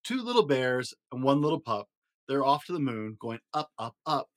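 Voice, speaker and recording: A man hosting a story podcast, reading a rhyming children's picture book aloud in an expressive, rhythmic way. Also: mid-range voice, moderate pace. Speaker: podcast host and story reader.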